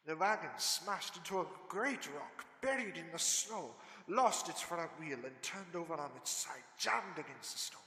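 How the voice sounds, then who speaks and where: A man's voice: fairly high pitch, bright, forceful tone. A man playing a grave, urgent vampire-hunting professor with dramatic, declamatory delivery in an old-time radio style drama. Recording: reverberant, echoing hall.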